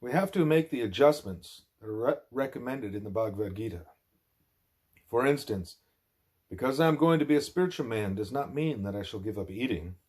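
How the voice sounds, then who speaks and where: A man narrating a spiritual text aloud in deliberate, emphatic phrases. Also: mid-range voice, animated, darkish tone. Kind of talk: scripted narration, broken by asides to a small child.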